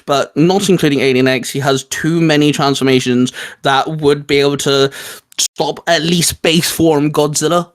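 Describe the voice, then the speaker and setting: A man's voice: on the high side, a bit bright. A man hosting a casual geeky debate, speaking in a chatty, informal and opinionated way. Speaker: video host.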